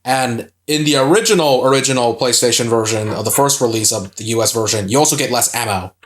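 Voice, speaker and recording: A man's voice: mid-range voice, fast talker. A young man co-hosting a casual video game playthrough, rambling and swearing often, with lots of filler words. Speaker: co-host playing a video game.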